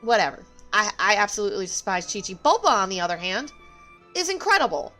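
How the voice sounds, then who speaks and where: A woman's voice: mid-range voice, big pitch swings, fast talker, pushed and bright, soft background music. A woman game streamer talking casually on a livestream and reading game dialogue aloud. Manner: opinionated, emphatic.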